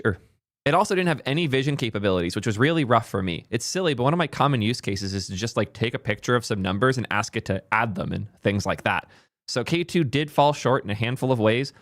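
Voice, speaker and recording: A young man hosting a casual tech video, excitable, restarting lines and swearing now and then, his voice a little hoarse. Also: medium pitch, no echo, clean studio sound. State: voice a bit hoarse, clearing throat. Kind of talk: casual tech commentary to camera.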